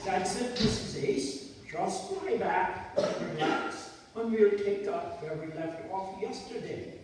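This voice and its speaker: Slow-talking psychoanalyst played by a man, echoey hall. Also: high-pitched, recorded from a distance. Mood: soothing, professional. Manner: deliberate, puzzled echoing of words. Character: measured, patient male psychoanalyst in radio comedy. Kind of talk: old-time radio comedy acting. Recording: echoey hall from afar, audience noise.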